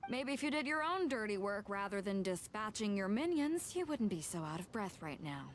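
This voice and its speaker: A woman's voice: mid-range voice, very animated, moderate pace, a little hiss or hum, close to an everyday voice. A woman playing a bold, commanding sea captain in a video game, with a breezy, wry manner. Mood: taunting, firm.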